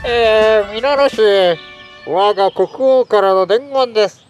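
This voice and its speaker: A pompous royal herald. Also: male voice, sits high, slow, soft background music. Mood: proclaiming. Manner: stiff, proclaiming, drawn out. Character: formal royal herald, adult man.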